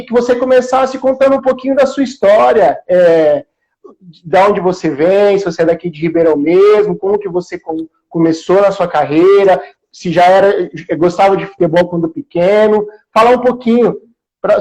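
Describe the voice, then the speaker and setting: Male live-show host, high-pitched, inland São Paulo Brazilian accent, informal and friendly chat. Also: fairly even intonation, normal speed, a little mellow, dull audio. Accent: Brazilian, São Paulo interior. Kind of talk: casual live interview chat. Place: remote video call livestream.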